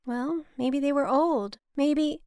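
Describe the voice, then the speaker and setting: A woman's voice: high voice, slow pace, darkish tone, dry recording, studio quality, voice pushed up. A woman voicing an anxious, dutiful adult daughter who pleads and placates, in an audiobook story. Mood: pleading.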